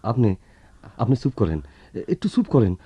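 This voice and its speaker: A poor young student in a radio play, humble and hesitant. His voice is husky and mid-pitched, on a dull, dry recording with faint music behind. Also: very animated, moderate pace, mellow, no echo. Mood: anxious. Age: young adult. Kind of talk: radio drama acting.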